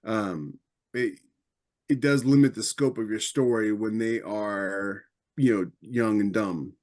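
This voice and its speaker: A man's voice: on the low side, takes it slowly, darkish tone. A man speaking casually as a podcast host and author, in a rambling, conversational storytelling way.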